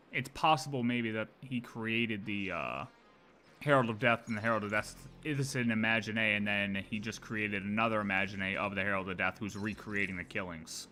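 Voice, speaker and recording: Young male streamer, bright tone, music under, rambling casual reactions. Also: lowish voice. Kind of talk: live game reaction stream.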